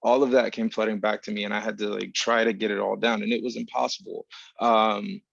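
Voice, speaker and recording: A man who is a writer giving a reflective, informal panel answer in a halting, searching, thoughtful way. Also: on the low side, little pitch movement, creaky, a bit bright, dry recording, muffled recording.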